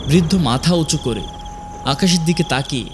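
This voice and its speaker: A man narrating a scripted horror story dramatically, in measured, suspenseful phrases with pauses. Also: a little high, background noise.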